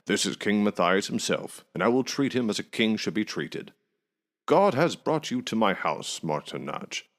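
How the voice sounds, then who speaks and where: A man's voice: fairly low pitch, sing-song, moderate pace, pitched above the performer's own voice. A man voicing a self-important, eager-to-please innkeeper in a folk tale, emphatic and bossy with his servants. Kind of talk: folk tale storybook reading.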